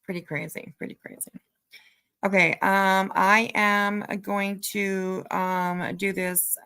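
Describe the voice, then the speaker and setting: A woman's voice: mid-range voice, flat delivery, takes it slowly, pushed and bright, clear, resonant tone. A woman hosting a casual livestream commentary, talking bluntly and emphatically, repeating phrases for emphasis and swearing casually. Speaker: livestream host.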